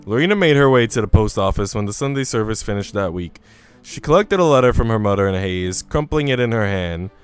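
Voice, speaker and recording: A man's voice: on the low side, lively intonation, fast talker, clear, resonant tone. A man reading visual novel narration aloud as a storyteller. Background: soft music.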